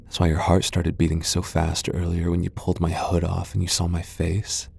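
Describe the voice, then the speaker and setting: A man's voice: low-pitched, completely flat delivery, moderate pace, creaky, darkish tone, husky, exaggerated voice. A male voice actor playing a proud tsundere vampire lord in an ASMR boyfriend roleplay, haughty and defensive, stammering when flustered. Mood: reassuring, reluctant.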